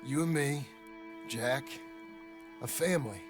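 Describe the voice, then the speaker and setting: An actor playing a gruff but earnest frontier outlaw turned rancher in a video game, with an American accent and a gravelly, plainspoken, halting delivery. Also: male voice, medium pitch, over music, close to an everyday voice. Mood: earnest, tender. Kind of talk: dramatic video game acting.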